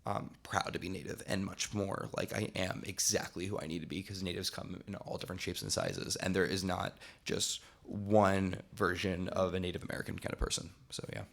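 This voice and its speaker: A man's voice: deep voice, flat delivery, brisk, vocal fry, few pauses, a bit bright. A young man, a college student, talking on a panel in a rambling way with many fillers. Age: young adult. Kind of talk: casual panel discussion.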